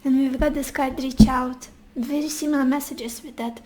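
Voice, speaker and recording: High-voiced woman, hoarse, gentle tarot reader. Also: steady tone, moderate pace. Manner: gentle, reassuring, addresses the viewer directly. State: losing her voice, hoarse. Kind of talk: casual pick-a-card tarot reading.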